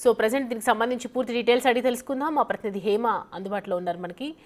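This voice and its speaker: A woman's voice: fairly high pitch, rapid-fire, a little mellow. A woman presenting live news as the anchor.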